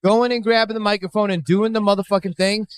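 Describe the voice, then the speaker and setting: A man's voice: high-pitched, fairly even intonation, fast, smooth. A man from Chicago hosting a live podcast at a bar, loose and profane as he interviews a guest. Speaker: podcast host. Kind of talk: casual live podcast chat.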